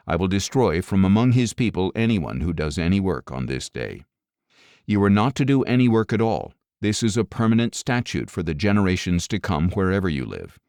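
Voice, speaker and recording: A man reading scripture as an audiobook narrator, in a measured, solemn, clearly enunciated way. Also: low voice, a little mellow, no echo, clean studio sound.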